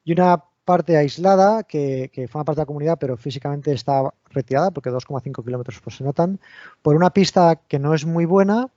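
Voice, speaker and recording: A man's voice: a little high, fairly quick, warm tone, dry recording, lo-fi sound. A man with an accent from Spain giving an online technical talk, explaining step by step and often restarting his sentences. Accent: Spain Spanish. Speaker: invited lecturer.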